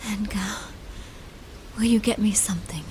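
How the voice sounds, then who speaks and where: A woman playing a feverish, dying young woman in a radio drama, wistful and dreamy in a breathy whisper. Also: mid-range voice, moderate pace, a little mellow, slight background noise, natural voice. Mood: feverish longing.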